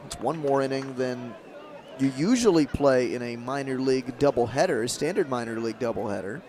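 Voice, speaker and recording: A man doing live radio play-by-play of a baseball game as the announcer, in measured calls with emphasis on key moments. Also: mid-range voice, darkish tone. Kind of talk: live radio sports commentary. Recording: crowd and ambient stadium noise behind. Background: ballpark crowd murmur.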